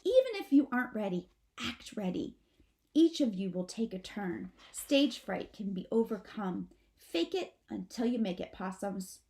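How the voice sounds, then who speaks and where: A woman voicing a stern mother possum from a children's storybook, commanding and deliberate like a stage director. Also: mid-range voice, big pitch swings, vocal fry, dark tone. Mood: firm, instructing. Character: stern, theatrical mother possum instructing her babies. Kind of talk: children's storybook character reading.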